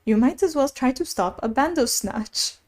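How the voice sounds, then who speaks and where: A woman's voice: high-pitched, lively intonation, moderate pace, a little mellow, pitched above the performer's own voice. A woman voicing a fussy, easily alarmed old storybook king with a pompous, exclamatory manner. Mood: excitable.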